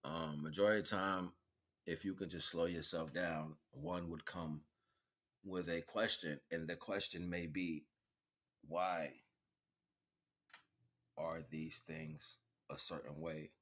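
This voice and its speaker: A man with a very low voice talks as a spiritual streamer. He rambles philosophically, pausing and circling back, and drops colloquial slang between lofty phrases and questions to the listener. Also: fairly even intonation, a bit bright. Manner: philosophical, wordy, halting, with rhetorical questions. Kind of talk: rambling spiritual monologue to an audience.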